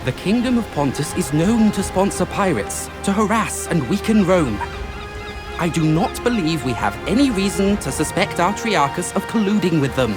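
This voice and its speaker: High-voiced, articulate Roman nobleman. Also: sing-song, over music. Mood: reasoning. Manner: measured, formal, articulate. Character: reasonable, educated Roman nobleman, calm strategic advisor. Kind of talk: scripted video game acting.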